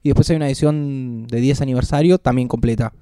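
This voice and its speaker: A man with an Argentine Spanish accent, co-hosting a casual podcast and telling anecdotes in a lively, joking way. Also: mid-range voice, fast, no room sound, studio quality. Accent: Rioplatense Spanish. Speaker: podcast co-host.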